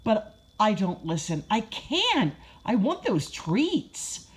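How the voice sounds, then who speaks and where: Woman voicing an excitable puppy, swooping and lively. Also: mid-range voice, slow, husky, exaggerated voice. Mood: happy, earnest. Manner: gushing, exclamatory, childlike. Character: excitable, food-crazed young male puppy telling his own story. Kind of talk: first-person children's storybook narration.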